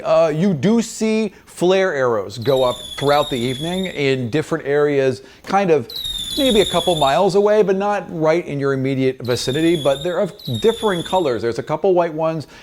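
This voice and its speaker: Casual higher-pitched man running a tabletop game. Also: animated, normal speed, a little mellow, a little hiss or hum. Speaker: game master. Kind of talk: improvised tabletop game narration.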